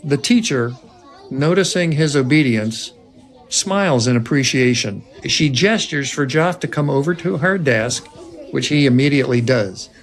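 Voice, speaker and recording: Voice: mid-range voice, animated, normal speed. A narrator reading scripted stage directions aloud at a film table read.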